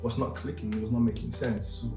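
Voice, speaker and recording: Young man from Cameroon, channel host, jokey and relaxed, roomy sound with soft music under him. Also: mid-range voice, fast, vocal fry. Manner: joking, playful. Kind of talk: casual vlog chat. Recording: echoey room with music behind.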